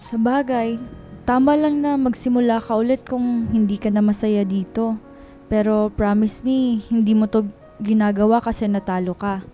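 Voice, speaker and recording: High, mellow-voiced loyal woman friend acted. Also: steady tone, moderate pace, faint music behind, close to an everyday voice. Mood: gentle concern. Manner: earnest, concerned. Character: loyal, protective, caring office friend, female. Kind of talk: radio drama acting.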